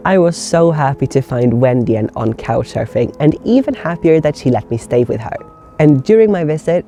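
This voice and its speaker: A man acting as host, narrating the video in a measured, scripted voice-over. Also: mid-range voice, mellow. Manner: measured, explanatory delivery.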